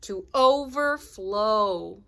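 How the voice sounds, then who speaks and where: A woman's voice: medium pitch, animated, drawn-out words, creaky, sharp tone. A woman reading a children's storybook aloud like a teacher, with dramatic emphasis and asides to young listeners.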